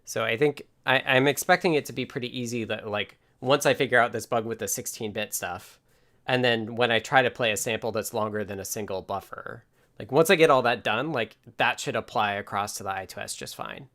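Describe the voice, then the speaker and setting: A man's voice: mid-range voice, brisk, fairly bright tone. Casual man hosting a technical stream. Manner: informal, thinking aloud. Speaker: livestream host.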